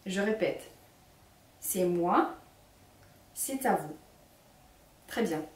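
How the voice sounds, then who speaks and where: Ukrainian woman teaching, slow and echoey. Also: lowish voice, animated. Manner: slow, clear, instructive. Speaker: singing teacher. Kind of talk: children's singing workshop. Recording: echoey room, mic at a distance.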